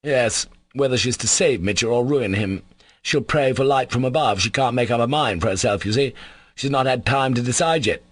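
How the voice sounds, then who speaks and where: A man voicing a sharp, contemptuous, irritable intellectual older brother in a dramatised novel reading. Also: mid-range voice, moderate pace, dry recording, clean studio sound, voice pushed up, natural voice. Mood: angry, dismissive. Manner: sharp, scornful, emphatic.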